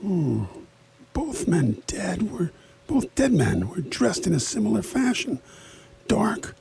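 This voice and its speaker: An actor playing a harried, grumbling sheriff with a bad stomach in a radio play, husky and creaky, prone to mild folksy cursing. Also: male voice, sits high, sing-song, takes it slowly, noisy background, full character voice. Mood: grumbling, exasperated. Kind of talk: radio theatre acting.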